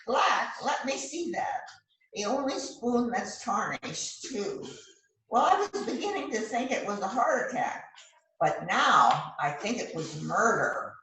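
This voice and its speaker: A woman playing a bossy, showy police detective in an amateur readers theater rehearsal, speaking in a deliberate, emphatic way. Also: on the high side, big pitch swings, a bit bright. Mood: dramatic. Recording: roomy, distant mic with faint noise.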